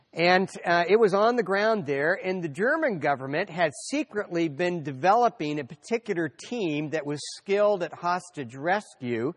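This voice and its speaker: A middle-aged man with a slightly high voice lectures an audience, telling history as a story. He talks conversationally, with fillers and restarts as he goes.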